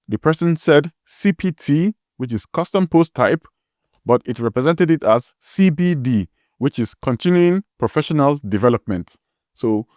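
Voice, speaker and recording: A man's voice: medium pitch, a little mellow, dry recording, studio quality. A man with a strong Nigerian accent hosting a tutorial, explaining step by step and spelling words out letter by letter. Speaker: tutorial host.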